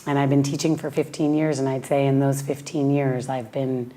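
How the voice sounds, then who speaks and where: A woman from Western Massachusetts with a raspy voice, a yoga teacher speaking slowly and thoughtfully in a heartfelt personal interview. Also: a bass voice, level tone, mellow. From Western Massachusetts, USA.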